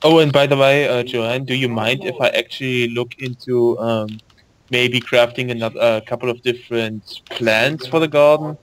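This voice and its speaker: Halting man in gaming voice chat. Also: mid-range voice, steady tone. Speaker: gamer in voice chat. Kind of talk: casual voice chat while playing.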